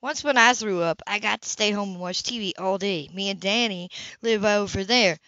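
A woman's voice: medium pitch, normal speed, sharp tone, no room sound, natural voice. A woman reading a storybook voices an eager, innocent little boy who chatters in rambling run-on sentences. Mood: chatty. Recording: dull, lo-fi home recording.

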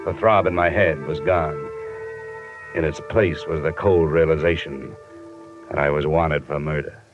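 An American man playing a tough, weary private investigator in a 1950s radio drama, clipped and deadpan. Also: rumbling low, measured pace. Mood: grim narration. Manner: clipped, hard-boiled, deadpan. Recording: muffled vintage radio recording, music behind.

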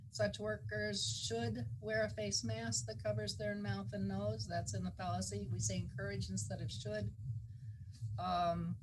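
A woman county official giving a measured, halting, procedural explanation of a policy at a public board meeting. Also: medium pitch. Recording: noisy meeting-room recording.

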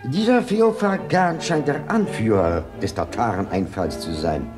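An actor playing an excitable, self-assured French newspaper correspondent in a German radio play, sprinkling his talk with French exclamations. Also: on the low side, measured pace, over music, lightly acted. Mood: curious.

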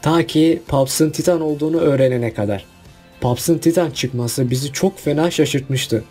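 A man talks through a countdown in a casual, chatty voice-over. His voice sits a little high but sounds mellow and husky. Faint music plays underneath. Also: a little slow. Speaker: video narrator. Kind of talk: list video voice-over.

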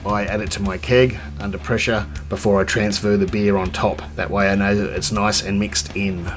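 A man's voice: fairly low pitch, level tone, moderate pace, faint music behind. Rambling Australian man, chatty beer vlogger. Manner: chatty and rambling, with many ums and restarts. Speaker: home brewing channel host. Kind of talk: casual beer review vlog. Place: home bar room, indoors.

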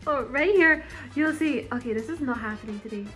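Woman in her twenties, high voice, bubbly casual chat, bedroom, phone mic, soft music behind. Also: fairly quick. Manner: giggly, bubbly, lots of "like" and "you guys". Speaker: vlogger giving a house tour. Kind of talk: casual chatty vlog. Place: bedroom of a house, indoors. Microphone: phone held at arm's length.

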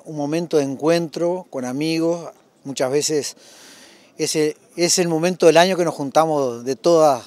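Higher-pitched older Uruguayan man. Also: a little mellow. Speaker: breeders' society president. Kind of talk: spoken interview answer. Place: outdoors at a showground. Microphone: handheld interview microphone.

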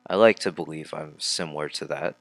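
A man's voice: very deep voice, brisk, a bit bright, no echo. A man doing casual let's play commentary, reading game dialogue aloud and reacting informally with some mild swearing. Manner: informal, reactive, mildly profane. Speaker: let's player. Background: game music.

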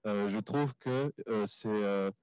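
A man giving an impromptu comment from a debate audience, haltingly searching for his words. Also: fairly low pitch, a little mellow, smooth, no echo. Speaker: audience participant. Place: small crowded café room. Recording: dull, lo-fi event recording.

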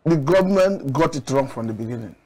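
A middle-aged man from Nigeria, a studio guest analyst, making measured, argumentative points with occasional restarts, on a lapel mic. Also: mid-range voice, animated, darkish tone, poor audio. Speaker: public affairs analyst guest. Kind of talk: studio TV discussion. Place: TV studio set.

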